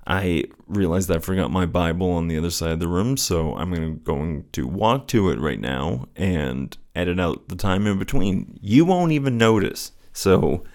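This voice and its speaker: A man with a soothing voice speaking in an earnest, gently encouraging way, as the host of a personal faith show. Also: deep voice, animated, moderate pace, few pauses. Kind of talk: casual reflective solo talk with scripture reading.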